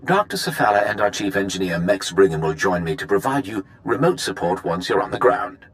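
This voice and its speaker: An actor playing an urbane, secretive imperial leader, briefing with measured, teasing formality in a video game. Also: male voice, sits low, sing-song. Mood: composed briefing.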